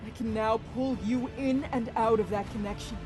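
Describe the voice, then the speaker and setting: An excitable female scientist acted by a woman, somewhat high-pitched. She talks eagerly and instructively over clear background music. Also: normal speed, close to an everyday voice. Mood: elated. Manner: exuberant, instructive. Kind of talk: animated sci-fi parody voice acting.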